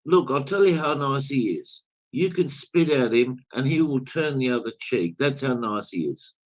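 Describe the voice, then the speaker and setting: Muffled lo-fi call audio, guy with a medium pitched voice just chatting. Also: animated, normal speed. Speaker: discussion panelist. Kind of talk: casual chat. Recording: dull, lo-fi online call audio.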